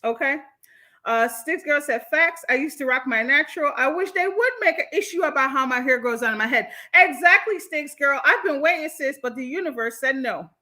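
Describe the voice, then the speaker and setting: A woman with an American accent hosting a casual livestream, reading and answering viewer comments in an animated, candid, slangy way. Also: sits high, fairly quick. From born in Jamaica, moved from the United States. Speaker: livestream host.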